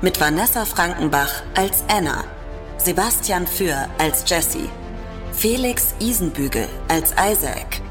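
A woman with a lowish voice reads podcast credits slowly and deliberately. Music plays under her. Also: animated. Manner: slow, deliberate announcing. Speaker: credits announcer.

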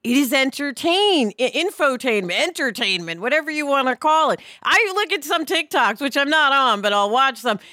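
A woman talking casually as a podcast host, animated and conversational, often checking that others agree. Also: high-pitched, moderate pace, few pauses, dry recording, studio quality.

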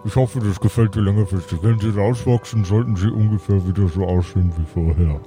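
A man's voice: on the low side, fairly quick, warm tone, faint music behind. A man improvising dialogue and small actions as a player in a fantasy role-play.

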